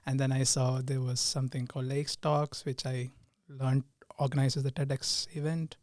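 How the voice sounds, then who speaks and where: A man's voice: medium pitch, level tone, moderate pace, darkish tone, no echo, studio quality. Hesitant young man from India. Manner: hesitant, laughs often. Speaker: guest, team lead. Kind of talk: casual interview chat.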